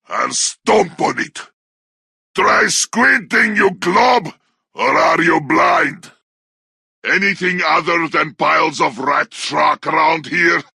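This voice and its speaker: A man playing a brutish, bloodthirsty orc warrior in a video game, snarling crude taunts in a harsh, raspy growl. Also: fairly high pitch, animated, slow, bright, forceful tone, no room sound, clean studio sound, full character voice. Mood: suspicious, gruff. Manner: snarling battle barks in crude, broken grammar. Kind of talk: video game combat barks.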